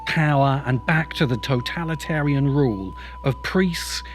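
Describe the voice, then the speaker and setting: A man hosts a scripted video essay in a fairly high voice with a middling, natural tone. His delivery is measured and emphatic, and music plays behind him. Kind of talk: scripted narration. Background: background music.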